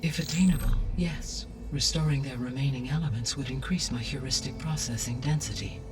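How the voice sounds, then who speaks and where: A calm, clinical artificial intelligence, voiced by a man, sets out its facts in a measured, exact cadence. It speaks from slightly off the mic. Strong music plays beneath it in a game cutscene. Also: mid-range voice, distant mic, close to an everyday voice. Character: calm ancient artificial intelligence guiding the heroine. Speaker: video game character.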